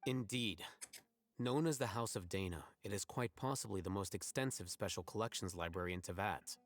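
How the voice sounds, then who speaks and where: A composed, knowledgeable guide in a video game. His voice is fairly low, formal and matter-of-fact, giving brisk direction over faint music. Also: no echo.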